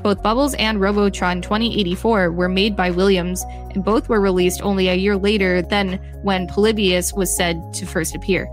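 A woman reads scripted article text as a podcast co-host. Her voice sits at a middle pitch, with faint music playing underneath. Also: fairly even intonation, moderate pace, few pauses.

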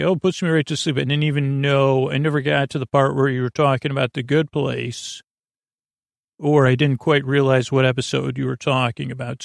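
A man hosting a sleep podcast in a meandering, halting monologue that keeps drifting off topic, with a gravelly, creaky voice. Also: medium pitch, no room sound, studio quality. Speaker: podcast host.

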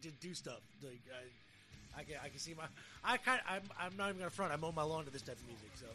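A man's voice: on the high side, animated, fast talker, bright, forceful tone, soft background music. A man hosting a podcast in a casual, jokey, slangy way with plenty of swearing. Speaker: podcast host.

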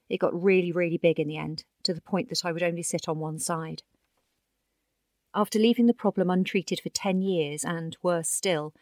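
A woman's voice: lowish voice, darkish tone, no room sound, clean studio sound. A woman narrating a written magazine feature as a scripted audio read. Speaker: narrator reading a magazine article.

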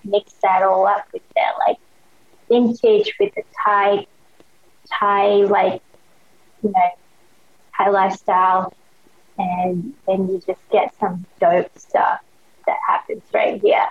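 A woman's voice: mid-range voice, slow, pauses often, husky. A young woman with an Australian accent, a podcast guest chatting eagerly in slangy casual talk full of "like" fillers. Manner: enthusiastic, slangy, many "like" fillers. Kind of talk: casual chat. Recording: dull lo-fi sound.

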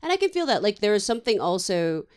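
A woman's voice: medium pitch, very animated, fast talker, a little mellow, smooth. A woman hosting a podcast, chatting casually with a guest, rambling and laughing often. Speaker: podcast host. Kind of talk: casual interview chat.

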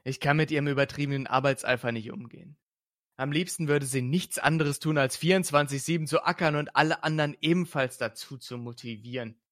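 A man voicing an irritable young adult detective who argues defiantly and shouts in anger. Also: a little high, brisk, no echo, clean studio sound, natural voice. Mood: annoyed.

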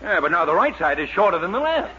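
A man playing a fussy, vain gentleman customer with a hesitant manner in a 1940s radio sitcom. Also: high voice, big pitch swings, fast talker, full character voice. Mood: alarmed. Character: fussy, vain male painter as barber customer. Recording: muffled, very noisy old radio recording with studio audience.

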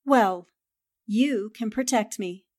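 A woman's voice: on the high side, slow, a little mellow. A woman playing a shrewd, confident newspaper reporter with poised, theatrical delivery in a 1940s-style radio drama. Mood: bright confidence. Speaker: voice actor.

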